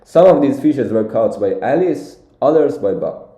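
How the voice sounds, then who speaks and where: Man from Iran, young adult, live coding walkthrough, mellow voice, roomy echo. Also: medium pitch, lively intonation, normal speed. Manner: thinks aloud with frequent fillers and restarts. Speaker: competitive programming streamer.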